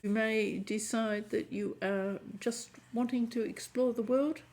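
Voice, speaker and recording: A woman giving a chatty tarot reading to camera, hoarse and often clearing her throat as her voice fades. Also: a little high, steady tone, measured pace, darkish tone. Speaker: tarot reader.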